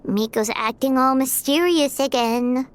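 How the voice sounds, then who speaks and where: A woman voicing a small, childlike fairy companion in a video game, squeaky and excitable as she exclaims. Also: unhurried, slight background noise. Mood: curious. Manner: exclaiming, refers to self in third person. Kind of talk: animated video game voice acting.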